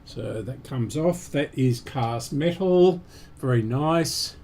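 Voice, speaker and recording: A man giving unscripted, halting commentary as host of a repair stream, muttering to himself while he works. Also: medium pitch, mellow, a little hiss or hum.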